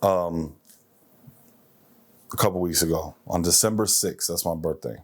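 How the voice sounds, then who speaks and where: A man's voice: sits low, a little slow, slightly rough. A man of forty hosting a self-improvement show, speaking emphatically in a motivational monologue and repeating phrases for effect.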